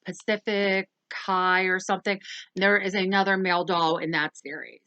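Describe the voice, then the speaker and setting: A woman's voice: medium pitch, level tone, normal speed, bright, forceful tone, clear, resonant tone, dry recording, muffled recording. A woman hosting a casual doll review, rambling along with lots of ums and self-corrections. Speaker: toy review channel host.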